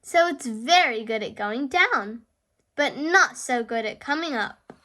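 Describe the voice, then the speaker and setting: Jolly elderly rabbit boat-mender for a picture book, voiced by a woman: high, hearty, swooping. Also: animated, unhurried, pushed and bright, exaggerated voice. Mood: confident. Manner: bellowing, boisterous, hearty. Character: jolly old rabbit grandfather, nautical boatyard mender.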